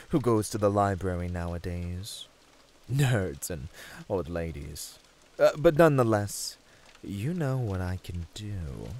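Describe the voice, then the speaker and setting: A man's voice: low-pitched, darkish tone, heavily acted. A man playing a smug, domineering demon with a British accent, teasing and purring theatrically in a flirtatious audio roleplay.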